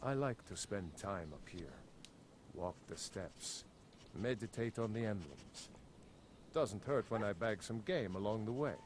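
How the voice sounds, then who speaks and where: A man voicing a calm, plain-spoken male hunter in a video game, talking in an unhurried, matter-of-fact way. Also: fairly low pitch, noisy background.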